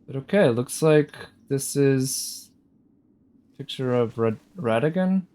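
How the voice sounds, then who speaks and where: A man giving casual, unhurried live commentary as a game streamer, musing aloud as he goes. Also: medium pitch, animated, mellow. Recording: game music behind the voice. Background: game music.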